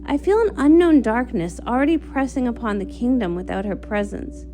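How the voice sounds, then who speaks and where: A woman's voice: a little high, lively intonation, normal speed, warm tone, faint music behind. A woman voicing a regal pony princess of the night with stately, old-fashioned formal speech in a comic read-along. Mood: grave, commanding.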